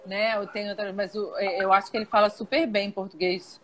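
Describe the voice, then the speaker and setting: A woman's voice: mid-range voice, steady tone, fairly quick, fairly bright tone, slight background noise, poor audio. A woman hosting a live online interview, greeting viewers and prompting her guests. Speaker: host.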